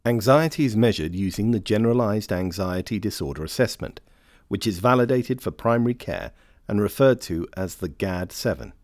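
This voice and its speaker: A man reading scripted instructional narration for a training film in a measured, formal, carefully enunciated way. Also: lowish voice, lively intonation, darkish tone, dry recording, studio quality.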